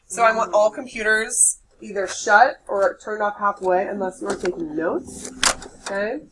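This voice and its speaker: A young woman with a nasal voice teaching a high school English class, lively and checking in with her students. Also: a little slow. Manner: lively, checks in with "okay?" often. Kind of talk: classroom lecture. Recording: distant mic with faint room noise.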